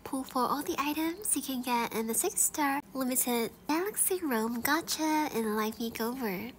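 A woman with a stuffy, blocked nose chatting casually as she previews game outfits, gushing over items and often dropping to a soft whisper. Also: high voice, a little slow, slight background noise. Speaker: gaming YouTuber. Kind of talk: casual gacha preview commentary.

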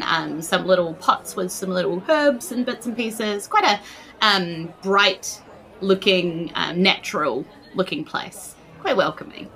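A woman's voice: lowish voice, bright, forceful tone. A woman improvising narration as game master of a tabletop game, informal and descriptive.

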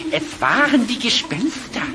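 An actor playing a cheeky, quick-witted cartoon rabbit in a children's audio play, animated and cocky, with music behind. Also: mid-range voice. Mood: bossy.

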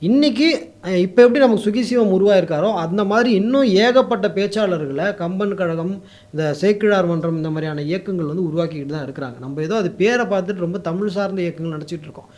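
A man's voice: sits high, fast, darkish tone. A man with a Tamil Nadu accent giving opinionated interview answers as a guest commentator, mixing in English words. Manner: code-mixes English words into speech.

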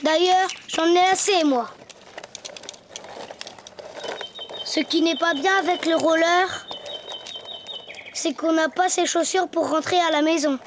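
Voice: very high-pitched, fairly even intonation, measured pace, background noise. An actor voicing an eager, boastful, playful little boy donkey in a French preschool cartoon, exclaiming in an earnest, childlike way. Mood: grumbling.

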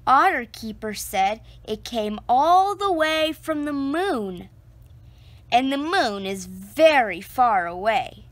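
A woman reading a children's picture book aloud, slow and expressive, close to the camera indoors. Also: dry recording. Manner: slow, expressive storytelling with lively pitch.

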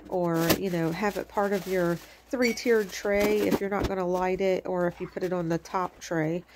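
A woman's voice: mid-range voice, a little mellow, slight background noise. A middle-aged woman with a slightly stuffy, congested voice, chatting casually through a home craft tutorial with lots of fillers. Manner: rambling and chatty, many fillers. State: stuffy from allergies. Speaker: crafting channel host. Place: home kitchen.

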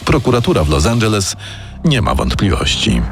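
A man's voice: on the low side, sing-song, normal speed, soft background music. A man delivering scripted, dramatic true-crime narration as the show's narrator.

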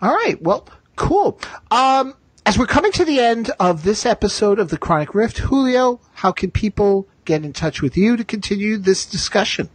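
A man's voice: high-pitched, very animated, husky, dry recording. A man hosting a casual fan discussion, speaking emphatically and opinionatedly, often doubling back on his phrases. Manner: emphatic, halting with repeated phrases. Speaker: podcast host.

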